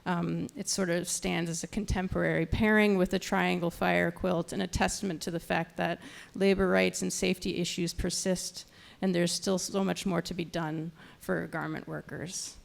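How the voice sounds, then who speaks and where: Creaky-voiced woman introducing speakers. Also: fairly low pitch, flat delivery. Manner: halting, stumbles over words. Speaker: nonprofit programs director introducing panel speakers. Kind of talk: semi-scripted event introduction.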